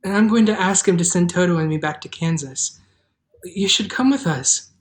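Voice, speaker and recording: Farm girl, female actor: sensible, plucky, lower-pitched, straight-talking, children's fantasy table read. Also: lively intonation, moderate pace, natural voice. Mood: frank. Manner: frank, decisive.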